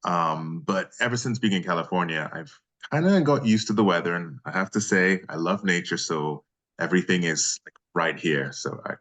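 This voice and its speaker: A man from Nigeria talks in a low-pitched voice. He is a voice actor answering questions in a casual, conversational interview. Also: expressive, normal speed, a bit bright.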